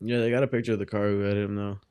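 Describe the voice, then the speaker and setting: A man with a very mellow, dark tone chats casually. His remarks are short and slangy, recorded dry with no room sound. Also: on the low side, level tone, racing, smooth, no room sound. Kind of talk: casual chat.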